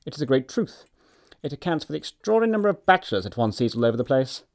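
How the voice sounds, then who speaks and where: An actor playing a flippant, witty young gentleman of leisure, breezy and arch, with an upper-class English accent. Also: mid-range voice, sing-song, very fast. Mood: breezy, insistent. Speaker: actor in an audiobook production. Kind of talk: stage comedy acting.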